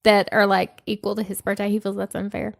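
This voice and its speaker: A slightly nasal woman in her thirties chats on a podcast, speaking softly and hesitantly with frequent ums. Her mid-pitched voice is recorded close and dry, with no room sound around it. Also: level tone, fairly quick, creaky, dry recording. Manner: soft-spoken, hesitant, many ums. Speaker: podcast co-host. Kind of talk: casual podcast chat.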